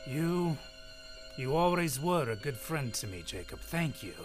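A woman's voice: low-pitched, a little slow, faint music behind. A woman playing a frightened, stammering old miser in a radio play. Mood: anxious pleading. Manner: stammering, pleading, excitable.

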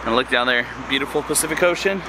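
A man's voice: mid-range voice, fast, background noise. A man in his thirties from southern California, talking casually as a travel vlogger, rambling with lots of fillers, filmed at arm's length. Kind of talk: casual vlog narration. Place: outdoors, hotel room and parked van. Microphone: handheld camera at arm's length.

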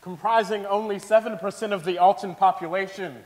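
A man's voice: high voice, steady tone, fairly quick, natural voice. A young man on stage playing a defiant, determined citizens' leader making formal, emphatic declarations. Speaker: student actor in a staged reading. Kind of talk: dramatic stage oratory. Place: large theatre stage, indoors. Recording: echoey theatre hall, long reverb.

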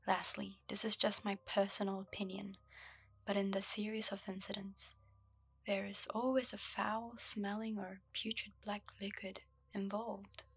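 A young woman reading a story aloud in a slow, soft, breathy ASMR whisper, her voice low. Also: flat delivery, no echo. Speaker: ASMR host. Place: dark room lit by a candle.

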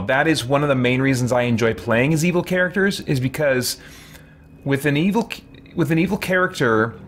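A man's voice: medium pitch, a bit bright. Man streaming, noise behind him. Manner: rambling, thinking aloud. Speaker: streamer playing a role-playing game. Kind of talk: casual game commentary. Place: indoor room lined with shelves. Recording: clear background noise.

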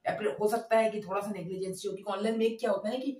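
A man's voice: high voice, fairly even intonation, very fast, darkish tone. Young man teaching in an echoey room. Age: 20s. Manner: conversational, repeats points and often checks with tag words. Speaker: exam coaching teacher. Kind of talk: informal whiteboard explainer lesson. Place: small indoor room at a whiteboard. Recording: roomy echo, distant microphone.

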